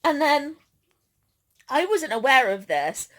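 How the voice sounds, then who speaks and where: A woman's voice: sits high, sing-song, slow pace, a bit bright. A woman vlogger chatting casually as she recounts an outing.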